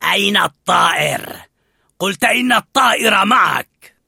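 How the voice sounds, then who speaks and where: A storyteller voicing a gruff, commanding male guard dog who barks orders harshly in a storybook reading. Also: mid-range voice, expressive, brisk, sharp tone, no room sound, clean studio sound, voice pushed up, full character voice.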